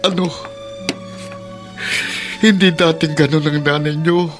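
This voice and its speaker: A man's voice: a little high, steady tone, slow pace, soft background music. A man playing a despairing, self-pitying middle-aged father with a Filipino accent, acted melodramatically for radio drama. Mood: bitter, suspicious.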